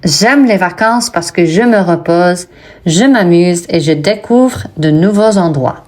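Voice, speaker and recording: Slow, careful woman reading French vocabulary. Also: on the low side, darkish tone. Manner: slow, deliberate word-by-word reading. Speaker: French teacher and textbook author. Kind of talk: pronunciation lesson reading.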